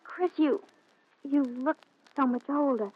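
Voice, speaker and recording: An actress playing a tender, concerned sweetheart with earnest 1940s radio drama delivery. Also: female voice, high-pitched, slow pace. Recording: dull 1940s radio recording.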